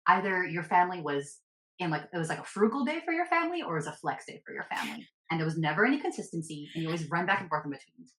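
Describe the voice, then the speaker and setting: Low-voiced woman in an echoey room. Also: very fast. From United States. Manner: lively storyteller, heavy use of "like". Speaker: guest. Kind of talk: casual conversation.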